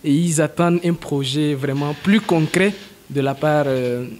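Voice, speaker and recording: A male interviewer who praises his guest warmly and asks long, winding questions. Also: fairly high pitch, measured pace, a little hiss or hum.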